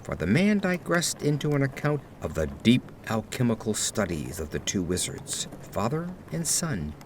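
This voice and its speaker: Man playing a haunted, highly expressive gothic narrator. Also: normal speed, slight background noise, close to an everyday voice. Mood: ominous, intense. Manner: formal, theatrical, expressive delivery. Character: haunted aristocratic man recounting terror in grave, ornate words. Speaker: narrator of an audio drama. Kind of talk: dramatic gothic horror storytelling.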